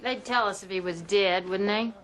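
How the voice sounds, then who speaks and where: A woman's voice: medium pitch, moderate pace, fairly bright tone, natural voice. An actress playing a defiant Southern mother in a film drama, outspoken and sardonic, with a Southern US accent. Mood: bitter. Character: fiery, defiant Southern mother with biting sarcasm.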